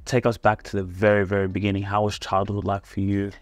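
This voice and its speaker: Guy hosting a podcast, low flat voice, asking casual questions, dry room. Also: quick pace, no echo. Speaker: podcast host. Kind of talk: casual interviewing.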